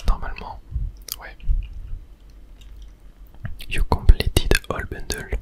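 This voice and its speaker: A person whispering casual ASMR game commentary, chatting and thinking aloud in a soft, breathy, unvoiced whisper. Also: takes it slowly. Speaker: gaming video host.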